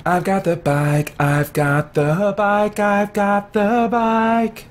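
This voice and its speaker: A man's voice: high-pitched, little pitch movement, slow, very noisy. A man doing casual gameplay commentary as a streamer, with a goofy, repetitive way of talking to himself. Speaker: video game livestreamer.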